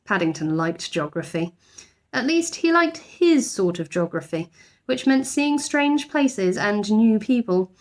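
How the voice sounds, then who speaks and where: A woman giving an expressive narration of a children's storybook, like a teacher reading aloud. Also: medium pitch, measured pace.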